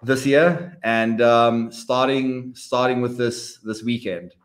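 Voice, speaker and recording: A man's voice: medium pitch, takes it slowly. Blunt, sick male coach chatting casually. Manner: blunt, swears freely, laughs easily. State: sick with a sinus cold. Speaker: coach and podcast host. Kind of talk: casual chat.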